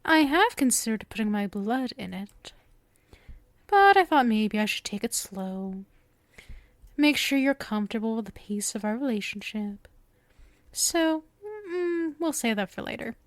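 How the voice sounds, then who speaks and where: Casting: actress for lovestruck yandere witch, high-pitched and unhurried, coy and clingy with a sinister edge, intimate ASMR roleplay. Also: animated, no room sound. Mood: doting, coy. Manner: coy, cooing, breaks into whispers. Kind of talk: ASMR roleplay acting, intimate address to listener.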